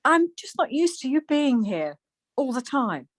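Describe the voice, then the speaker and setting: A woman's voice: high-pitched, big pitch swings, measured pace, no room sound, studio quality, exaggerated voice. A woman acting a scatty, easily exasperated wife in a domestic radio comedy. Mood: stressed. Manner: flustered, snippy.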